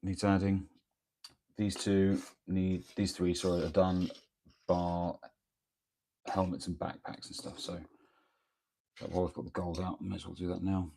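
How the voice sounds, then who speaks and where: A man talks in a very low, gravelly voice that has gone croaky from a cold. He rambles hesitantly and casually, full of pauses and restarts, as he chats to viewers at home. His tone stays husky throughout. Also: monotone, mellow. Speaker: miniature painter streaming live. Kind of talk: casual live-stream chat while working. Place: home workspace, early morning. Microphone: microphone near the speaker.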